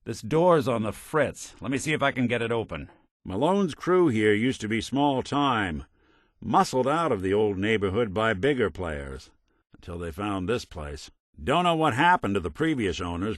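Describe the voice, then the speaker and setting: World-weary American robot gumshoe for a noir game: lowish, very expressive, dry wit, kind underneath. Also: male voice, normal speed, no room sound. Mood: wry, reflective. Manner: wry, folksy old-time gumshoe delivery. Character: weary, wry synth private detective, kind but hard-boiled. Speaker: video game voice actor. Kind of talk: noir detective game dialogue.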